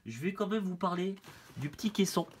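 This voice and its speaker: A man's voice: high voice, animated, a little fast, noisy background. A man with a head cold, demonstrating a product in a casual, hesitant way with many fillers. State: has a head cold, stuffy. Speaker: product reviewer. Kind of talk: casual hands-on product demo.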